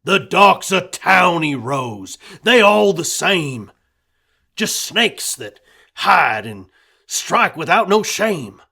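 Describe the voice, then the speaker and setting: A storyteller voicing a gruff, suspicious rural father in a rhyming tale, speaking loudly with a scolding drawl. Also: mid-range voice, expressive, takes it slowly, full character voice. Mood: bitter. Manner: loud, scolding, hard drawl.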